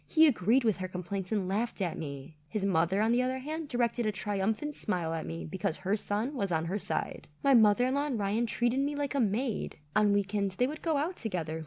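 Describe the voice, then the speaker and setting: A woman's voice: a little high, darkish tone. A woman reading a scripted first-person family drama as a story narrator.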